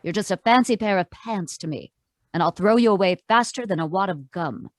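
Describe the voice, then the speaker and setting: A woman's voice: mid-range voice, lively intonation, brisk, dry recording, studio quality, close to an everyday voice. Woman playing a cutting, tough-talking femme fatale. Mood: cold, threatening. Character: hard, threatening femme fatale. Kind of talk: 1940s radio noir acting.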